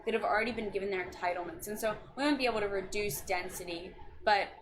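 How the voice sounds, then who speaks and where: A woman's voice: medium pitch, fast. A woman in her twenties, a county district staff member, talking earnestly and passionately about local policy in a casual chat. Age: 20s. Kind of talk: casual chat on a video stream. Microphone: video call. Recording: noisy recording.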